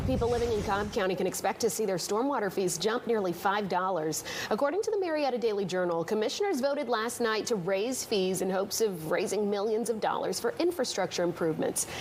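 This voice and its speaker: A woman's voice: mid-range voice, slight background noise. A woman TV news anchor reading scripted morning news.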